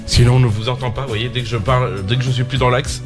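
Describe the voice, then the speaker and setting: A man's voice: medium pitch, fairly even intonation, fast talker, over music. A man hosting a casual radio show, chatty and joking, with lots of fillers. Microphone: studio microphone at a shared table.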